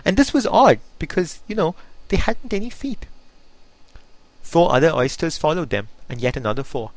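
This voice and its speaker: A man reading a poem aloud in a theatrical, expressive storytelling manner. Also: on the high side, moderate pace, vocal fry, dry recording, low-quality recording. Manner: theatrical, putting on voices for dialogue. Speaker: reader. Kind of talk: expressive poetry recitation.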